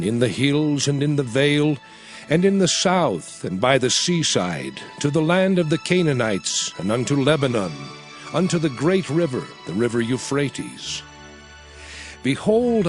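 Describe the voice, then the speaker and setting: An actor playing a commanding prophet who addresses his people in solemn, declamatory dramatized scripture. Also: mid-range voice. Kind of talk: dramatized scripture oration, King James English.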